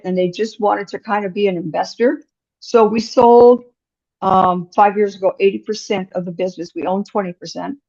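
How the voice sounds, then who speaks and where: A woman's voice: mid-range voice, darkish tone, dull audio. A woman in her sixties, a business owner telling her story in an interview in a frank, direct and chatty way. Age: 60s. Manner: frank and direct, chatty, acts out quoted dialogue.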